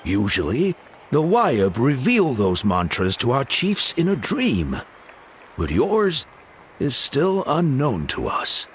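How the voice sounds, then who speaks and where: Brief: reverent game messenger, formal, unhurried, with hugely sweeping intonation. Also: male voice, mid-range voice, wildly swooping pitch, a little hiss or hum. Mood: solemn. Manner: formal, theatrical. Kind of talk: video game voice acting.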